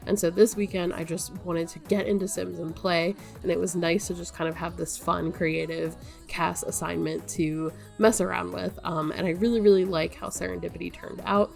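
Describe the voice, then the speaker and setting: A woman's voice: on the low side, little pitch movement, normal speed, gravelly, few pauses, a little mellow, soft background music. A young woman with a nasal voice, sick and with her voice wearing out, chatting to her viewers in a rambling way, full of ums and likes. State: stuffy-nosed and sick, voice wearing out. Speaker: gaming YouTuber. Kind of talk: casual chat to viewers.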